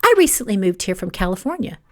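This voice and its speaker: A woman's voice: mid-range voice, sing-song, quick pace, a bit bright. A woman voicing a guarded young female secretary in an audiobook, politely feigning innocence. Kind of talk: audiobook character dialogue.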